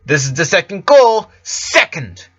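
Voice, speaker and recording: A man voicing an urgent theater announcer, calling out loudly and emphatically in a storybook reading. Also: high voice, very animated, unhurried, muffled recording, voice pushed up, heavily acted. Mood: insistent.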